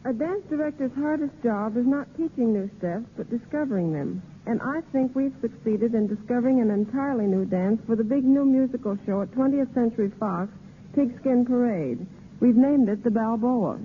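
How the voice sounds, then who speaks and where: Young woman on tinny vintage radio, high-pitched. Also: normal speed. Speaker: radio guest, dance director. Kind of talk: scripted 1930s radio guest talk. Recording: noisy, narrow-band 1930s radio recording.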